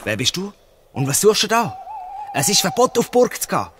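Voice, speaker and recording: Man playing a defiant Swiss German boy, fairly high voice, very animated, radio play. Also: fast, noisy background. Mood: wary. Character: wary, defiant orphan boy on watch.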